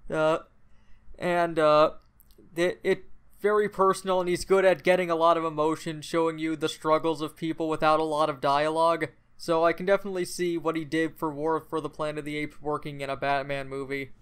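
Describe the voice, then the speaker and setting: A man co-hosting a casual podcast, giving his opinions in a measured, analytical way. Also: fairly high pitch, level tone, a bit bright, smooth. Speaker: podcast co-host. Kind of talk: casual panel discussion.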